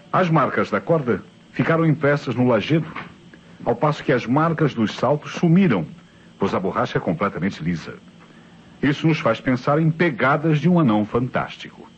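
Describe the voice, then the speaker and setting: Actor as Brazilian police inspector, animated intonation. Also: male voice, medium pitch, lightly acted. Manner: measured, declamatory, deductive. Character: authoritative police inspector calmly explaining the crime. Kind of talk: old Brazilian radio play acting. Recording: dull, noisy old radio recording.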